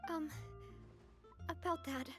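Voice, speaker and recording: A poised young female gang leader, high in pitch and kind in temper. She speaks with measured, gentle courtesy in the manner of video game dialogue, over light music. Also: steady tone. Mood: sorrowful.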